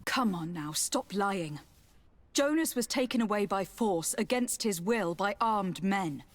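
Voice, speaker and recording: An actress playing a young noblewoman envoy in a video game, speaking in a formal, measured and assertive way. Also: medium pitch, lively intonation, no echo, natural voice. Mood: stern, threatening. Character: composed, stern young noblewoman envoy, diplomatic yet commanding. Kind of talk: video game dialogue acting.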